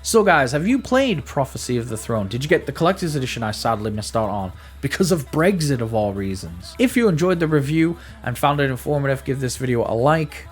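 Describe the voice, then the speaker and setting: A man's voice: mid-range voice, big pitch swings, fairly quick, hardly any pauses, faint music behind. A man giving a casual, conversational video game review, speaking emphatically and often stammering or repeating his words.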